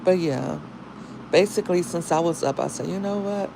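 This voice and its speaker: A woman with a deep, husky morning voice, talking casually to viewers in a livestream as a single mom and content creator, with chatty, slangy asides. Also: moderate pace, background noise. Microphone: phone.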